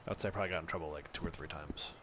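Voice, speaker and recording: A young man streaming a game, chatting casually with his viewers in a loud voice that carries. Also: very deep voice, rapid-fire, slight background noise. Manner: chatty, relaxed, answers chat. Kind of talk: casual livestream chat. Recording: game sounds clearly behind.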